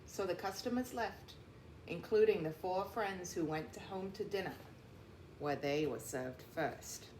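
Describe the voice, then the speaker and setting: A woman reading a picture book aloud like a teacher, with deliberate, emphatic phrasing and explanatory asides, in a roomy recording. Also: fairly low pitch, a little mellow. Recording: roomy echo, faint background noise.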